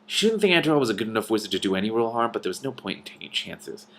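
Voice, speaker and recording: Slightly low-voiced man reading a story. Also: big pitch swings, rapid-fire, fairly bright tone, slight background noise. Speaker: online book reader and commentator. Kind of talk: expressive storybook reading with casual commentary.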